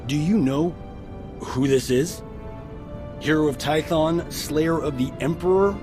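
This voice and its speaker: An actor playing a brash, cocky doctor in a video game, breezy, boastful and excitable. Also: male voice, a little high, unhurried, close to an everyday voice. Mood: indignant, chatty. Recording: background music under the voice.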